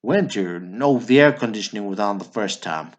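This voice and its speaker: A man's voice: on the low side, animated, moderate pace. A male actor playing a sheepish, literal-minded younger brother in a radio play, apologetic and hesitant. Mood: guilty. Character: meek, dim, sheepish younger brother confessing guiltily.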